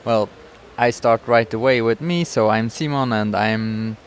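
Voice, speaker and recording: A man's voice: mid-range voice, measured pace, slight background noise. A man from Switzerland with a Swiss accent, co-hosting a casual podcast and chatting while he sometimes searches for English words. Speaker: podcast co-host. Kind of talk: casual chat. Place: outdoors in a busy town square.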